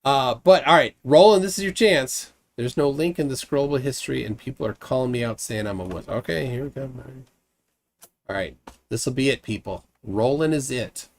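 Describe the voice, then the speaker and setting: A man's voice: mid-range voice, moderate pace. A man with a Minnesotan accent hosting a casual late-night call-in show, rambling and restarting his sentences. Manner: rambling, self-interrupting, chuckling.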